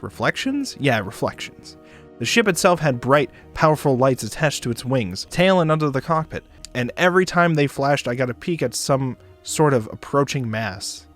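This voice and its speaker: A man's voice: medium pitch, fairly bright tone. Man reading a story aloud over music. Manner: breaks into playful asides. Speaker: streamer reading a visual novel aloud. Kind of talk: expressive read-aloud narration with ad-libs. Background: game music.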